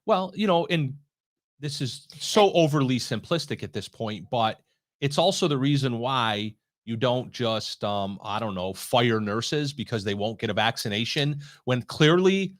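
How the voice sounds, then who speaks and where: A woman's voice: very deep voice, expressive, unhurried. A woman co-hosting a political talk show, mostly agreeing in short interjections. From Germany. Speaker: co-host. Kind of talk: casual political chat.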